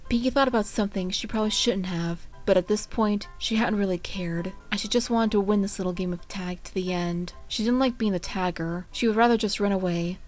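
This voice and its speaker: A woman's voice: medium pitch, a little fast, hardly any pauses, a little mellow, faint music behind. A woman reading fan fiction aloud in a storytelling narration style.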